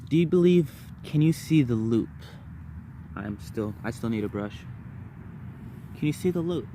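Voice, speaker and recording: A man's voice: mid-range voice, animated, a little slow, dark tone, slight background noise. A man telling personal stories casually to viewers, rambling and repeating words and phrases in bursts. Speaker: vlogger.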